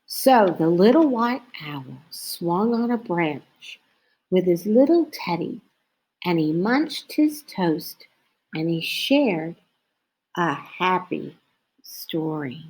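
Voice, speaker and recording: Slow-paced woman reading a children's story. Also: fairly low pitch, slightly rough, low-quality recording. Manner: slow, expressive storytelling with drawn-out phrases. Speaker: storybook reader. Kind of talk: children's story read-aloud.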